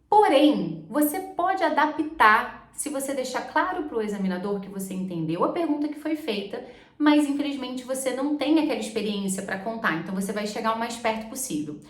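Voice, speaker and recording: Female English teacher, Brazilian accent, brightish pitch, lively lesson delivery, roomy echo. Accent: Brazilian Portuguese. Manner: animated, checks in with listeners. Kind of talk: explaining to camera. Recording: echoey room.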